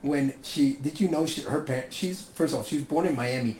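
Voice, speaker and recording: A young man chatting casually about movies, swearing often and ranting with animated energy. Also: fairly high pitch, fairly quick. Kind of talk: casual chat. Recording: echoey room with faint ambient noise.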